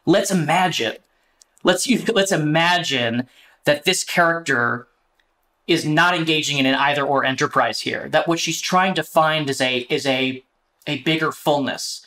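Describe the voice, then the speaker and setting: Man, bright forward tone, slightly high, earnest panel talker. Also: measured pace. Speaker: theater director and panelist. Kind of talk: conversational panel discussion.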